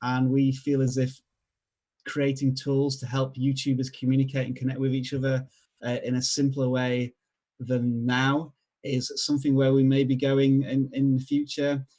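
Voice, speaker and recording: Yorkshire male guest, casual and halting, mid-range voice. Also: mid-range voice. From England. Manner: relaxed, hesitant with many fillers, self-deprecating. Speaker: guest expert. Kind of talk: casual online show chat.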